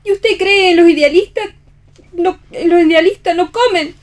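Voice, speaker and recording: Una actriz interpreta a una mujer caída, apasionada y desdeñosa, que defiende su amor con tono teatral en un radioteatro. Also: female voice, very high-pitched, normal speed. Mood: defiant. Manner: theatrical, indignant. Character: scornful, passionate fallen woman defending her love. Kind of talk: theatrical radio play reading.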